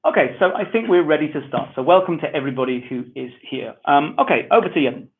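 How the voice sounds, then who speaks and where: A man from England with a Manchester accent, hosting an online webinar and calmly giving welcome and housekeeping instructions. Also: on the high side, fast, vocal fry, slight background noise. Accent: Mancunian English. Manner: friendly, repetitive, relaxed instructions. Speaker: webinar host. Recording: tinny phone-like online audio.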